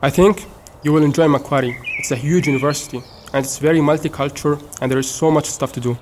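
A young man from Syria, speaking in an earnest, measured way as the one being asked questions. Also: a little high, steady tone, slight background noise. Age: young adult. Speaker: interviewee.

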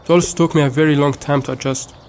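A young man from Syria, speaking in an earnest, measured way as the one being asked questions. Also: fairly high pitch, fairly even intonation, slight background noise. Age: young adult. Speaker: interviewee.

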